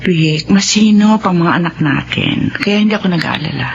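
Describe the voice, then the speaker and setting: A woman acting as a middle-aged wife and mother in a radio drama, earnest and pleading, later warm and grateful. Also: on the low side, animated, normal speed, creaky, slight background noise. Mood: tender. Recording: muffled radio broadcast audio.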